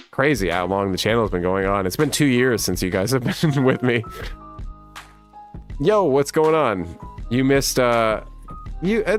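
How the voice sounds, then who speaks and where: A man in his thirties with a rough smoker's voice, casually chatting on a livestream and reading viewer comments, with lots of laughs. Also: lowish voice. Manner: laid-back and rambling, laughs often. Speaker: livestream host.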